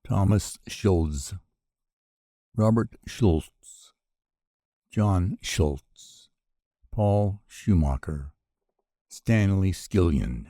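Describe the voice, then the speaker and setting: A man formally reading out a list of names as part of a memorial roll call, solemn and measured, pausing after each one. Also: low voice, expressive, dark tone, dry recording, clean studio sound.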